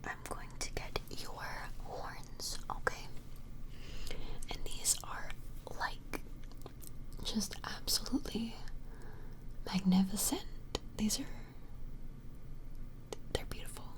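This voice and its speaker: Slow whispering ASMR woman. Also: mid-range voice, low-quality recording. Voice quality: breathy whisper. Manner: gentle, hesitant, murmured admiring asides. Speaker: ASMR performer. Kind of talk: ASMR personal-attention role play. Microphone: close-up microphone.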